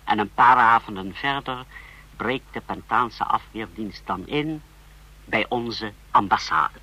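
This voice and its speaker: Forceful, husky, calculating conspirator. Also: male voice, fairly low pitch, takes it slowly, heavily acted, poor audio. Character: cool, calculating male conspirator in foreign intelligence. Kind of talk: radio drama dialogue.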